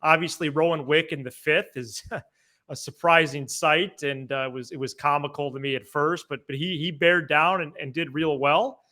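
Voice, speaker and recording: Bright, higher-voiced man hosting sports talk. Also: fairly even intonation, normal speed. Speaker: sports podcast co-host. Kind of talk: casual sports commentary with banter.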